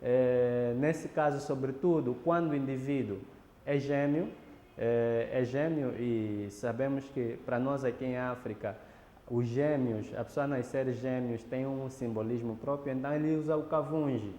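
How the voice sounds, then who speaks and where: A man's voice: medium pitch, steady tone, dark tone. Angolan man explaining in an echoey room. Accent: Angolan Portuguese. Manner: measured, pauses with fillers and restarts. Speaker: interviewee explaining a ritual. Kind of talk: explanatory documentary interview. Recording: reverberant room, faint background noise.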